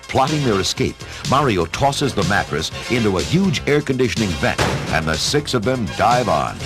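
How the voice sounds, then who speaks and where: A male narrator tells a scripted adventure story in a fairly low voice. His delivery is dramatic and very lively in intonation, with music clearly playing behind him. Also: normal speed. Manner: expressive storytelling.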